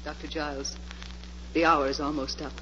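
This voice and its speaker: A woman's voice: deep voice, little pitch movement, moderate pace, sharp tone. An actress playing a resolute but horrified captive woman in a dramatic 1940s radio style. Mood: grave. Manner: earnest, dramatic. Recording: muffled, noisy old radio recording.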